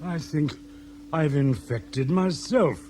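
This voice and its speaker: An actor playing a sickly, self-pitying spaceship captain with a creaky, laboured, groaning delivery in a radio drama. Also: male voice, on the high side, takes it slowly, dark tone, slight background noise. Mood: miserable. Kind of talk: radio science fiction drama.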